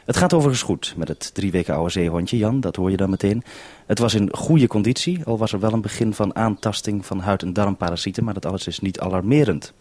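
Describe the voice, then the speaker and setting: A man with a husky, slightly low voice and a Netherlands Dutch accent presents on radio, narrating and putting questions. The sound is close and dry, with little room around him. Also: a little fast, a little mellow, no room sound. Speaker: radio host. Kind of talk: narrated radio report and interview.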